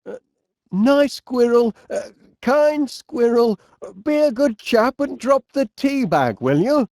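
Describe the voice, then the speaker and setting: An actor playing a fussy, cheerful, absent-minded middle-aged inventor with a Northern English accent, speaking in a bumbling, polite way for an animated comedy game. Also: male voice, very high-pitched, slow pace, no room sound, studio quality, heavily acted. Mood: chatty, mildly fretful. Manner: bumbling, hesitant, polite, chatty.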